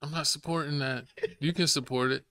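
A young man co-hosting a podcast, teasing his partner with quick comebacks in casual banter. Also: on the high side, fairly even intonation, sharp tone, dry recording. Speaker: podcast co-host. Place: small home studio, indoors.

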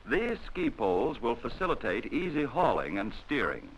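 A man narrating a 1940s military training film in a measured, instructional way, on an old film soundtrack. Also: medium pitch, wildly swooping pitch, a little hiss or hum, low-quality recording.

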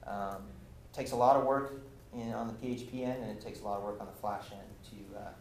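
Young male presenter, lowish voice, loose explanatory delivery full of fillers; roomy lecture hall, light hiss. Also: steady tone, quick pace, darkish tone. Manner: casual, explanatory, many fillers and restarts. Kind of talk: technical meetup talk. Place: lecture room with projector. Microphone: far camera. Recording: echoey room, faint hiss, from camera.

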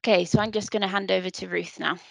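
A woman's voice: medium pitch, steady tone, fast, creaky, no echo, poor audio. A woman hosting an online event on a video call, polite and encouraging, often repeating words as she talks. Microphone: computer mic on a video call.

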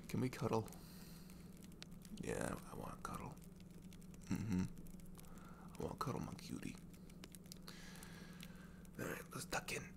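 Man playing a slow, soft, creaky-voiced doting boyfriend. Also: mid-range voice, noisy background, full character voice. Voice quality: soft, breathy, often near a whisper. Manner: halting, teasing, tender. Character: affectionate, dorky, reassuring young boyfriend. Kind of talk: ASMR boyfriend roleplay, intimate and conversational.

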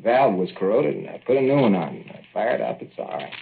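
A man's voice: on the low side, sing-song, a little fast, vocal fry. An actor playing a crabby, nervous American small-town handyman in a 1940s radio drama, talking in short grumbling phrases. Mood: guarded, terse. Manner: terse, grumbling, colloquial. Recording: dull, noisy old radio recording.

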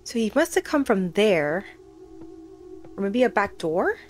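A woman's voice: mid-range voice, sing-song, unhurried, a little mellow, soft background music. Nervous woman commenting on a horror game. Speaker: gaming video host.

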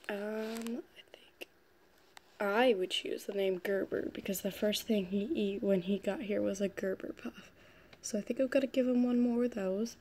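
A woman's voice: on the high side, warm tone. A young teenage girl talking casually and tenderly in a home pet vlog, recorded on a phone. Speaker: vlogger and amateur animal rescuer. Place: indoors in a home living room and bedroom. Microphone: phone, at arm's length or propped nearby. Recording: noisy amateur phone recording.

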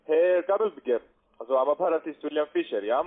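A man's voice: a little high, expressive, quick pace. A man speaking non-native German, making a sales call as an account manager. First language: not German. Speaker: cold caller, investment account manager. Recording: tinny phone line.

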